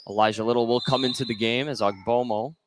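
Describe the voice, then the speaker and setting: A man doing live play-by-play radio commentary of a high school basketball game. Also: steady tone, fast. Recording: noisy gym ambience behind the voice.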